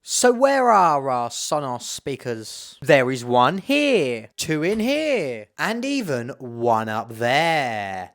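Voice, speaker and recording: A man's voice: on the high side, fairly bright tone. Man explaining a tech project step by step. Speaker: tech tutorial presenter. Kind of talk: explanatory demo narration.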